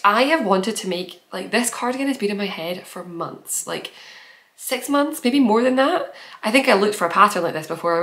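A woman's voice: mid-range voice, fairly bright tone. A young woman hosting a knitting podcast, chatting casually and giggly to camera from a small room at home, sounding nasal from a head cold. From based in Edinburgh. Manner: chatty, giggly, rambling with fillers.

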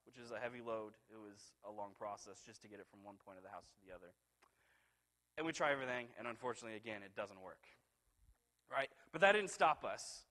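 A man in his thirties preaching a sermon, telling a personal story in a conversational, animated way and often asking the congregation "right?", while pacing the stage of a church sanctuary. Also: medium pitch. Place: church sanctuary, large hall. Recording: hall reverb in a church sanctuary.

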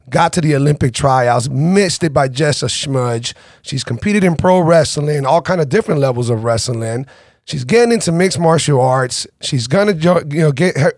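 A man in his forties opens his podcast with lively enthusiasm, talking into a close studio microphone. The sound is dry and close, with no room around it. His pitch sits in an ordinary middle range for a man. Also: no room sound. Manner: energetic, enthusiastic, chatty. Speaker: podcast host. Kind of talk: casual interview. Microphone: boom-arm studio mic with headphones.

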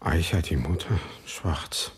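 An actor playing a brooding banished son in a radio play, narrating slowly and solemnly in a husky voice. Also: male voice, exaggerated voice. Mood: solemn, haunted. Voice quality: very deep, husky. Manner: slow, solemn, measured. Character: brooding banished son, slowly recounting his painter mother's pictures.

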